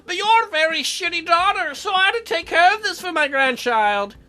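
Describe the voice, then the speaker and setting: Woman doing a grandmother, teasing, crude jokes, high lilting voice, game character. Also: animated, brisk, sharp tone, faint music behind, exaggerated voice. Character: teasing grandmother, blunt and bawdy.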